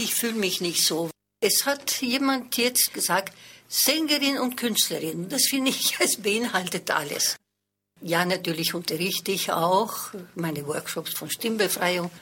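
An older woman, a French native speaker, talks about her life in a radio interview. Her voice is slightly husky, and she thinks aloud, pausing and correcting herself now and then. Her pitch sits at an ordinary middle height. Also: fairly bright tone. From born in Paris, raised in Madrid. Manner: reflective, with hesitations and small grammar slips. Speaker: singer and voice coach.

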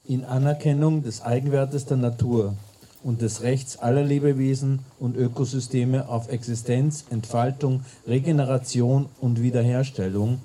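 A man's voice: medium pitch, steady tone, moderate pace, warm tone. A man reading a formal declaration aloud.

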